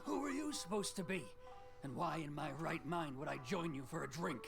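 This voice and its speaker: A man's voice: fairly high pitch, expressive, normal speed, creaky, over music. A man playing a greedy, officious government tax collector in a video game, haughty and easily flustered into stammering. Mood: self-important. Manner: haughty, stammers when cornered. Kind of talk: scripted video game acting.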